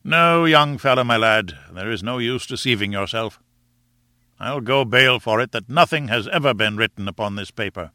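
A breezy aristocratic adventurer, performed by a male narrator, talks in jaunty upper-class slang. He is unflappable and sporting, with a bright, forceful voice in a dry storybook recording. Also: mid-range voice, expressive, normal speed, dry recording. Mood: matter-of-fact.

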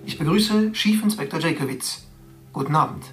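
An actor playing a polished TV news presenter in a radio drama, formal and questioning. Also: male voice, fairly high pitch, expressive, normal speed, distant mic, soft background music, close to an everyday voice. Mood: formal, concerned. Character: polished, concerned male television news presenter.

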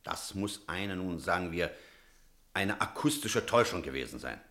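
A firm, energetic village priest in a radio play. His voice is on the low side. He speaks decisively and emphatically, like someone used to laying down doctrine. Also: moderate pace. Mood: stern, reasoning. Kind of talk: German radio drama acting.

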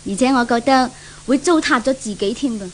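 A woman plays a frank, gossipy maid in a 1970s radio play. She speaks in a high voice with a Hong Kong Cantonese accent, grumbling like a long-suffering servant. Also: brisk, slight background noise, lightly acted. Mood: gossipy, disapproving. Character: outspoken, gossipy maidservant who grumbles about her mistress.

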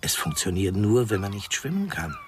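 Shady secrets salesman, radio drama, hugely varied pitch, cryptic, pushy, very noisy honking background. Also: big pitch swings, moderate pace. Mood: sly, insistent. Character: sly street peddler of grim secrets and tips. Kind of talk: German radio crime drama acting.

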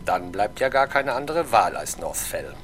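An actor playing a weary, sardonic middle-aged police inspector in a German radio crime drama, grumbling with dry irony. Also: male voice, on the low side, sing-song, normal speed, a bit bright. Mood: dryly ironic. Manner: grumbling, dryly ironic. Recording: faint background noise.

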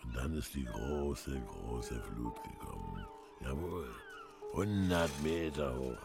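An actor playing an old villager who tells of dark omens in a rambling, fervent way, in a radio drama. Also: male voice, very deep voice, animated, slow, vocal fry, full character voice. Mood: ominous, rambling. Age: older. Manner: rambling, ominous, fervent storytelling. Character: old doom-saying North Frisian villager telling omens. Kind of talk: German radio drama acting. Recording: music behind the voice.

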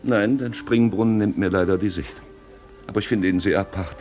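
An actor playing an urbane, cynical gentleman with dry, measured irony in a 1950s German radio play. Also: on the low side, faint music behind, natural voice. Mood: dryly ironic. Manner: dry, ironic, measured, articulate. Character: urbane, cynical gentleman friend of a rich host.

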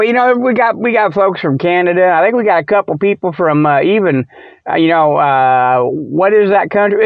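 A man's voice: fairly high pitch, dry recording, phone-quality sound. A man hosting a comic show, rambling off the cuff in a joking, folksy way with loose colloquial grammar and chuckles at himself. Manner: colloquial rural-style grammar such as ain't and them.

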